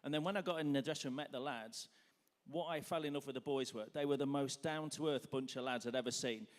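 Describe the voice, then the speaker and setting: A man's voice: medium pitch, fast talker. A man, a former footballer, speaking as a guest at a live stage interview, earnest and reflective as he looks back. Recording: echoey hall.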